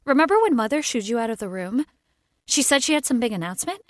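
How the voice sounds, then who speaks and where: An actress playing a flustered, defiant young society daughter in a 1920s period audio drama. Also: female voice, fairly high pitch, big pitch swings, quick pace, no room sound, clean studio sound. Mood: conspiratorial, resentful. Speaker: actor.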